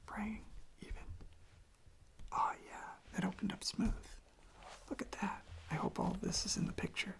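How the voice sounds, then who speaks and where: An ASMR creator speaking very softly, often in a whisper, in an unhurried, rambling casual chat with self-interruptions, close to the microphone. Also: mid-range voice, wildly swooping pitch, vocal fry. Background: tapping and handling of records.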